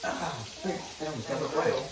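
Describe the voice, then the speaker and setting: A man's voice: a little high, fairly quick, recorded from a distance, a loud crowd. A young man hosting a casual cooking vlog at home, playful and joking, talking to viewers in a kitchen. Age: young adult. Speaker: vlog host. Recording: echoey kitchen with loud sizzling.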